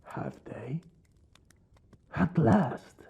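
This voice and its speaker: Brooding vampire lord, played by a man for ASMR roleplay: aloof but tender underneath, soft and breathy, unhurried, with expressive swings in pitch. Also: highs cut off, heavily acted. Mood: lordly, relieved. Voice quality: soft, breathy, often dropping to a whisper. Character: brooding male vampire lord, aloof yet secretly caring. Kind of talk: ASMR roleplay, intimate dramatic monologue.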